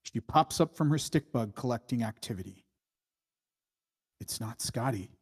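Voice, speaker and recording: A man reading screenplay stage directions as scripted narration in a measured, matter-of-fact way. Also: medium pitch, expressive, husky.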